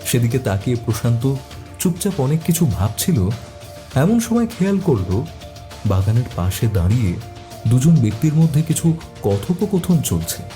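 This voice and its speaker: A man's voice: mid-range voice, big pitch swings, moderate pace, long pauses, mellow, soft background music. A man reading a scripted detective story as narrator, also speaking the detective's questions.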